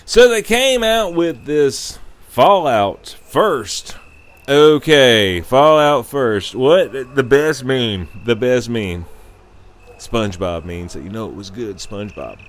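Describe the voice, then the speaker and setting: A man's voice: medium pitch, lively intonation, takes it slowly, no echo. A man in his thirties hosting a show, giving a blunt, profane, casual rant. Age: 30s. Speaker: podcast host. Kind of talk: casual opinionated gaming rant.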